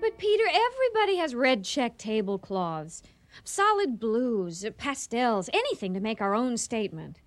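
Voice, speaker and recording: Lively, sarcastic, scheming woman. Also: on the high side, moderate pace. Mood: fussy. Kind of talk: radio comedy acting.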